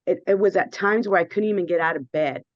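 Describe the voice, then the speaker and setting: A woman in her fifties with a hoarse voice, a guest telling her story earnestly and with emphasis. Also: fairly low pitch, fast, no echo, highs cut off. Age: 50s. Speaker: guest sharing her experience.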